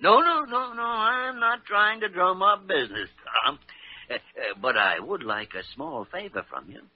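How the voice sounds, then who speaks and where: An older actor playing a gruff, sly senior doctor who chuckles often, in 1940s radio acting. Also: male voice, high-pitched, very animated, measured pace, exaggerated voice. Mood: jovial. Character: gruff wise old senior doctor man. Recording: muffled old radio broadcast.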